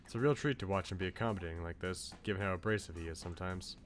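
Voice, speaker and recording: A man's voice: deep voice, rapid-fire, soft background music. A man live-streaming a visual novel, reading aloud and chatting.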